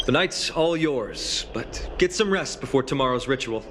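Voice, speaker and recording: An actor playing an earnest young fantasy hero in a video game, speaking sincerely and politely. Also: male voice, medium pitch, animated, moderate pace, a bit bright, a little hiss or hum, close to an everyday voice. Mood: gentle. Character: earnest young male hero resolved to bear divinity.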